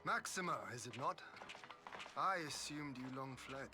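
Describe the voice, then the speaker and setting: An actor playing a proud, solemn young lord in a video game, speaking in formal, archaic phrases. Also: male voice, medium pitch, a little slow, music in the background, lightly acted. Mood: guarded recognition. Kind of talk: video game cutscene acting.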